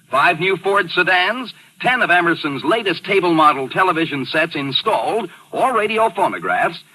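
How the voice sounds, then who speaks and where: A man's voice: a little high, sharp tone, husky, poor audio. An American man working as an announcer, delivering an emphatic, upbeat 1940s radio commercial. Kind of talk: 1940s radio advertising pitch.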